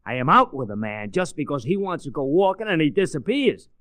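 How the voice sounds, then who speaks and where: A griping Brooklyn sidekick from an old radio play. His pitch swings widely, and he complains in wisecracking street slang. The recording is dry and close. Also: big pitch swings, fairly quick, a bit bright, no echo. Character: harmless, griping working-class male Brooklyn Irish sidekick. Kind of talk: 1940s radio drama acting.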